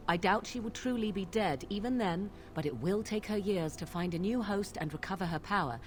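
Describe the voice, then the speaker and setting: An actress voicing an aloof young witch in a video game, speaking formally and in measured phrases. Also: medium pitch, slight background noise, natural voice.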